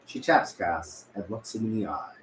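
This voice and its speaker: A man's voice: fairly low pitch, expressive, normal speed, a bit bright. A man reading scripted narration as narrator at a table read. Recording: echoey room, faint background noise.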